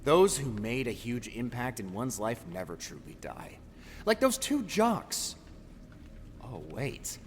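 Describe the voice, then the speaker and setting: A man's voice: medium pitch, very animated, slow pace. A man playing a taunting, sinister voice inside a tormented man's mind in an audio drama, mocking and theatrical. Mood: cruel taunting. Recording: long reverb, faint background noise.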